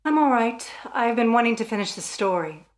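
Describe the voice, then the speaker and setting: A woman's voice: a little high, expressive, fairly quick, natural voice. An actress in a melodramatic radio play as an anxious, pleading wife who fears for her life. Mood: subdued unease. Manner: fearful, pleading.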